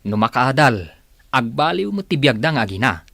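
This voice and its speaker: Obliging, lively-voiced male aide. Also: mid-range voice, moderate pace, creaky, dry recording, clean studio sound, full character voice. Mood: respectful. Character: deferential male aide doing detective errands, friendly when asking around. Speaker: radio drama actor. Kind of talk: Filipino radio drama acting.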